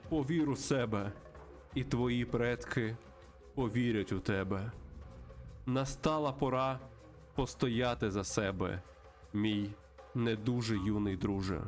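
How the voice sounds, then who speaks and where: A man voicing a wise, solemn spirit guide in a western game, giving slow mystical counsel. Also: mid-range voice, faint music behind, lightly acted. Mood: solemn, encouraging. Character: solemn wise male spirit guide, last of his kind.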